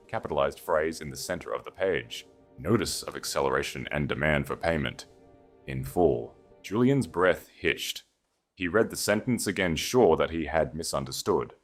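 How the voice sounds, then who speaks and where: A man narrating a period romance story in a dramatic, scripted style. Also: low voice. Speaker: audiobook narrator.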